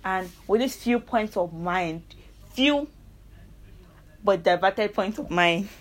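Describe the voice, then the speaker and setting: A woman's voice: mid-range voice, lively intonation, slow pace. A young woman with a Nigerian accent, hosting a casual solo podcast and talking earnestly, rambling and correcting herself as she argues her point. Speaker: podcast host.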